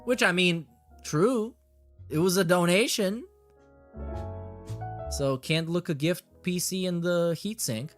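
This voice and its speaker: A man's voice: sits high, slow, pauses often, soft background music. A man hosting a reaction show in a casual, blunt, sardonic way, reading messages aloud and swearing as he comments.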